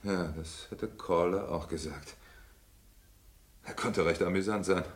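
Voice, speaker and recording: An actor playing an unhinged, obsessive gentleman murderer in a radio crime drama, speaking in a halting, courteous way with menace. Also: sits low. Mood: brooding, musing.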